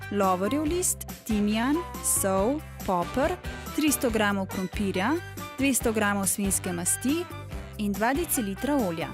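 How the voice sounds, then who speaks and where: Woman voice-over announcer over music. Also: medium pitch. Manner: clear, measured reading. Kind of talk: scripted voice-over narration. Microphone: studio voice-over. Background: music bed.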